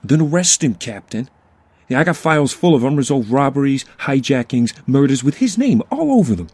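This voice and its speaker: An actor playing a cocky, hot-headed district attorney in a comic-book audio drama, husky, sardonic and impatient. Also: male voice, mid-range voice, big pitch swings, brisk, a little mellow, no echo. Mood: indignant.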